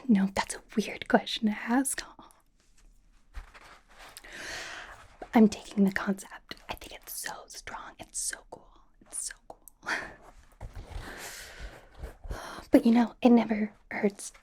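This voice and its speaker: A woman's voice: a little high, a little mellow, slightly rough. A woman playing a bubbly, encouraging young K-pop idol in an ASMR personal-attention roleplay, whispering breathily in a rambling, giggly, reassuring way. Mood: reassuring, gushing. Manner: rambling, giggly, reassuring, casual youthful phrasing.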